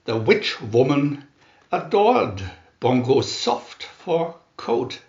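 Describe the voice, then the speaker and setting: A man reads a children's storybook with deliberate care. His pitch swings widely as he goes, and the recording is dull and roomy, with a clear echo around the voice. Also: big pitch swings, a little mellow. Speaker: storybook narrator. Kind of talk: scripted children's story reading. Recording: roomy, echoey, dull sound.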